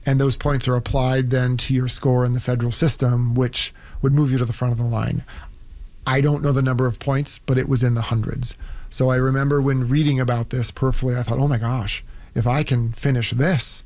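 A man's voice: mid-range voice, flat delivery, a little fast, a little mellow. A middle-aged man telling his own story conversationally, acting out little quoted asides as he goes. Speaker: interviewee, immigrant doctor.

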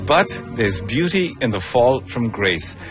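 A man hosting a radio arts segment, reading introductions in a lively presenter manner. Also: mid-range voice, measured pace, over music.

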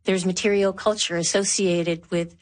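A woman from Newfoundland who works as an archaeologist, speaking slowly and thoughtfully in an interview. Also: lowish voice, flat delivery. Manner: slow, measured, thoughtful. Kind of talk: interview talk.

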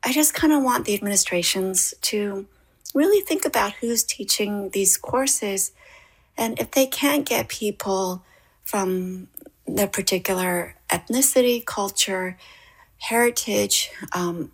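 A woman's voice: mid-range voice, slow pace, creaky, husky. A middle-aged woman from Toronto with a Canadian accent, telling a personal story as an interviewed playwright. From Canada, raised in Toronto.

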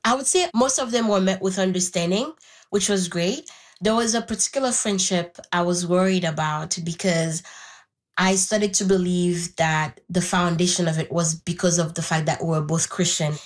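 A woman's voice: fairly low pitch, normal speed. A young woman from Haiti, a native Haitian Creole speaker, reflecting candidly and thoughtfully on her personal life as an interview guest. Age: young adult. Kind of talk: reflective personal storytelling in conversation.

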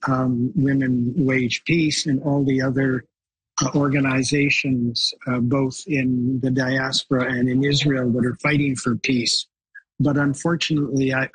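Husky raspy guy, flat monotone, pushing back on the other speakers. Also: mid-range voice, no room sound, poor audio. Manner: measured, contrarian, hesitant fillers. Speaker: guest commentator. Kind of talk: panel discussion.

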